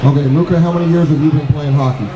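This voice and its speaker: A man's voice: fairly high pitch, steady tone, quick pace, highs cut off, noisy background. A middle-aged man hosting a fan event, upbeat and announcing. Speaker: event host. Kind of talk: event emcee. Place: indoor rink event stage. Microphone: handheld mic.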